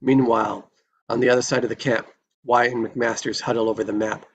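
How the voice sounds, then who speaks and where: Gravelly low-key man narrating a Western script. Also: flat delivery, fairly quick. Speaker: stage-direction reader at a table read. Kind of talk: dramatic screenplay narration.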